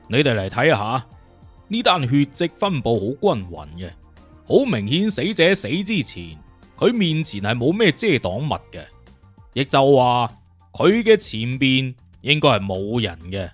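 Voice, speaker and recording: A man's voice: medium pitch, big pitch swings, unhurried, soft background music. A man playing a witty, conceited detective consultant in an audiobook, with a Cantonese accent and an emphatic, lecturing, self-assured manner. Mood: confident deducing. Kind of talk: audiobook character acting.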